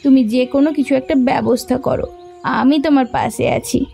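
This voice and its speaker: A woman's voice: high voice, fairly quick, creaky, mellow. A woman voicing a devoted, stubborn young village housewife in a cartoon, with a pleading, emphatic delivery. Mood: insistent, devoted. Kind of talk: Bengali fairy-tale cartoon dubbing. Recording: music bed under the voice.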